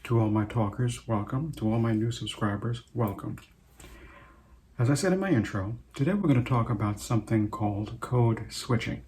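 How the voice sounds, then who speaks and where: A man in his sixties, originally from Trinidad, hosting his own show and speaking in an unhurried, reflective way with many pauses. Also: medium pitch, lively intonation, darkish tone. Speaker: host. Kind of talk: casual personal talk to camera.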